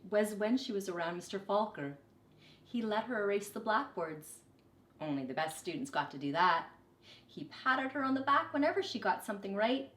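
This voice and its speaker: A woman reading a children's story aloud expressively, with deliberate, dramatic emphasis. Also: mid-range voice, roomy sound. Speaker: storybook reader, teacher.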